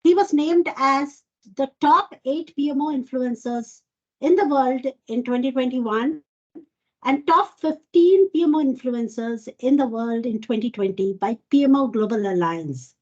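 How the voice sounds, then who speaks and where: A woman hosts an online event, introducing speakers. Her voice is high-pitched and husky, and it comes through a muffled, lo-fi call. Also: a little slow, darkish tone. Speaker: event host.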